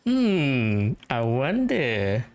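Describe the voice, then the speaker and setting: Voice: mid-range voice, wildly swooping pitch, drawn-out words, darkish tone. A gamer giving casual, hesitant play-through commentary, thinking aloud. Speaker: gamer, let's play host. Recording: game music behind the voice. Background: game music.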